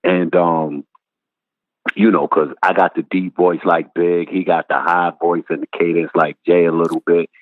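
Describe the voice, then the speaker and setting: A man's voice: deep voice, little pitch movement, moderate pace, dry recording. A man from Brooklyn, talking casually as a rapper guest in an interview. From Brooklyn, New York. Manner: laid-back slangy storytelling. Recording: tinny phone-like line.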